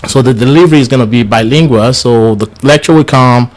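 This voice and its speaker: A man whose first language is Yoruba gives a bilingual Islamic lecture in English. He sounds tired and talks earnestly, with halting restarts. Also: mid-range voice. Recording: studio lecture for radio/TV.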